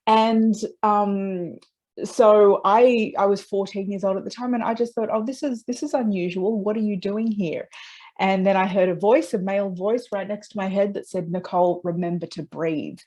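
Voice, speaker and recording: A woman being interviewed, telling personal stories in a conversational, reflective and expressive way with small check-in questions. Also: medium pitch, normal speed. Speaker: interview guest, transpersonal psychology practitioner.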